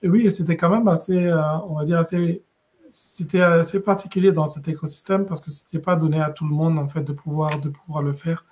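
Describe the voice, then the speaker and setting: A middle-aged man from West Africa, a podcast guest, telling his story in a measured, thoughtful way with many pauses and restarts. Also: a little high, fairly even intonation, a little mellow. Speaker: guest, regional director. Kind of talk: conversational podcast interview.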